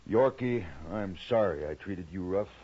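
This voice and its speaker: An actor playing a gruff but soft-hearted stable keeper with a rural Western American accent, blunt and folksy, in 1950s radio acting. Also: male voice, deep voice, animated, moderate pace. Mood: softened, kindly. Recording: muffled 1950s radio recording.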